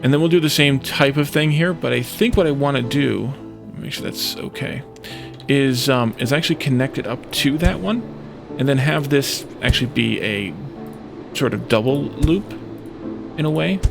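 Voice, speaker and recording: A man's voice: mid-range voice, moderate pace, creaky. A man giving casual gameplay commentary, thinking aloud in a musing, tentative way as he hosts a let's play. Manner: musing, tentative, talking to himself. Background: music behind the voice.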